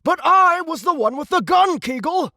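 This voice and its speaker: A man's voice: extremely high pitch, lively intonation, moderate pace, sharp tone, no room sound, studio quality, full character voice. An actor playing a haughty, insecure young male alien commander in an audiobook, indignant and officious in manner. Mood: affronted insistence. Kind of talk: audiobook character acting.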